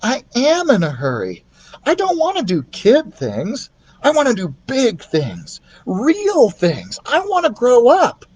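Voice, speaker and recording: A man's voice: extremely high pitch, wildly swooping pitch, slow pace, a little mellow, slightly rough, voice pushed up, exaggerated voice. A man voicing a friendly, candid young boy in a storybook, speaking in an earnest, confiding way. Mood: impatient.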